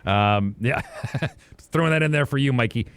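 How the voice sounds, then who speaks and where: A man's voice: medium pitch, smooth, dry recording. A man co-hosting a podcast, chatting casually and reminiscing in a rambling way. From Western Canada. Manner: rambling, reminiscing, quick asides. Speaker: podcast co-host. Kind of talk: casual sports chat. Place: apartment recording studio.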